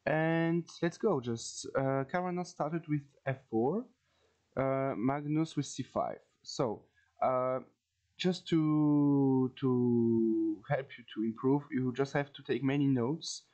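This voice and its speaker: A man from France with a French accent gives an informal lesson as a video host, haltingly searching for words and repeating phrases. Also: mid-range voice, a little mellow, muffled recording. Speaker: chess teacher, video host. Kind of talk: informal instructional commentary.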